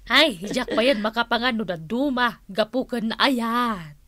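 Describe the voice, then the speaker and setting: Playful auntie, bright forceful voice, slow pace, comic radio drama. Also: female voice, fairly high pitch, dry recording, clean studio sound. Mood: teasing, lovestruck. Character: playful, lovestruck auntie, dreamy and theatrical.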